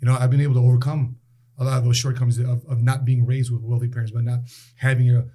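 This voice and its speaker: A man in his late forties, a guest in a casual interview, telling stories with animated emphasis and repeated phrases. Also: medium pitch, quick pace. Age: 40s.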